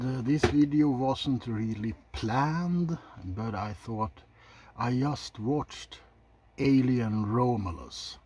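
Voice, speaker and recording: A man's voice: medium pitch, mellow, husky. A man hosting a casual ASMR video, giving blunt opinions in an informal commentary. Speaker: video host.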